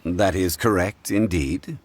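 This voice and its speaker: A male actor voicing a composed, courteous and erudite gentleman in a video game, speaking in a calm, formal manner. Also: sits low, takes it slowly, a little hiss or hum. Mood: composed, reasoning. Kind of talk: measured video game dialogue.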